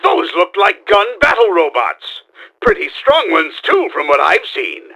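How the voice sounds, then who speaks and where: An actor playing a pompous, scheming mad-scientist villain in a video game, with a theatrical, emphatic delivery. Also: male voice, sits high, huge pitch swings, unhurried, sharp tone, full character voice. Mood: indignant, scheming. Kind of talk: video game character acting.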